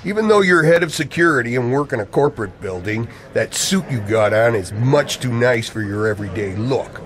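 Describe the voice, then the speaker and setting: A man's voice: mid-range voice, lively intonation, fairly quick, natural voice. An actor playing an edgy, secretive man in radio play dialogue, coy and evasive with an old friend. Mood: sly, confident. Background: music.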